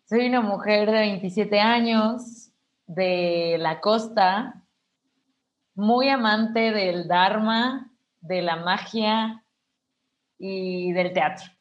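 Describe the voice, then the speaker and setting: A woman in her twenties, an actress, talking thoughtfully as a podcast guest, tired yet energetic, often ending phrases with a questioning tag. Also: medium pitch, very slow, highs cut off. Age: 20s. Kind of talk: reflective conversation.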